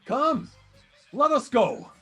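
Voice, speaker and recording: A man's voice: extremely high pitch, big pitch swings, slow pace, a bit bright, heavily acted. A man playing a proud, stubborn drunken reveler with a cold, speaking with theatrical bluster in a period drama. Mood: insistent. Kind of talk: theatrical period drama acting over video call. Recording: long reverberant echo, music behind.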